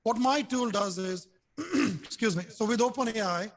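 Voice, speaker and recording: A man's voice: high voice, expressive, normal speed. A man in his sixties, born in India, with a heavy blend of Indian and French accents. He is a developer chatting eagerly on a video call, restarting sentences and leaning on "so basically". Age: 60s. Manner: enthusiastic, repeats "so basically", frequent restarts. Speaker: customer and software developer. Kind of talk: casual video call chat.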